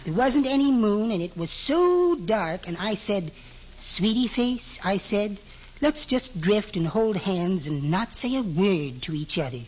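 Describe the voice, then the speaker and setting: A man's voice: sits high, animated, unhurried. A man playing a meek, henpecked little husband with sly spite, mild and prim, in 1940s radio comedy style. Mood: wistful, then gleeful. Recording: vintage radio, muffled with background hiss.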